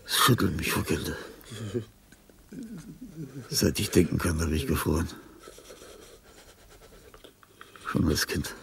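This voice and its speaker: An actor playing a dying, lonely old composer in a radio drama, bitter and imperious, with a husky, gravelly, halting delivery. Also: male voice, a bass voice, fairly even intonation, full character voice. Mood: shivering, plaintive. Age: older. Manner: halting, theatrical, swinging between muttering and outbursts. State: weak, shivering with cold. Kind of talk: German radio drama monologue.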